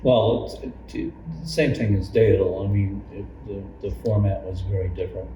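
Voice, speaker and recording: A man informally recalling his church's past in a group interview, halting and repeating words. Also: on the low side, steady tone, warm tone, muffled recording. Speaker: longtime church member being interviewed. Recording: noisy, echoey room, far from mic.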